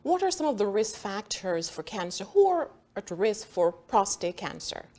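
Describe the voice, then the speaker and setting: A woman's voice: mid-range voice, very animated, moderate pace, dark tone. A middle-aged woman from Jamaica hosting a TV health show as a doctor, upbeat and prompting her guest with questions. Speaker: show host, internist. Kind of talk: TV health interview. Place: bright TV studio set.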